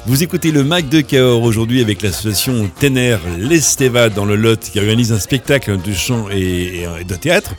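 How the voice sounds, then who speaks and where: A male radio host with a very animated voice that climbs and dips as he introduces guests and asks questions. Faint music plays underneath him in the studio. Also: medium pitch, a little fast, few pauses. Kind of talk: radio announcing and interviewing.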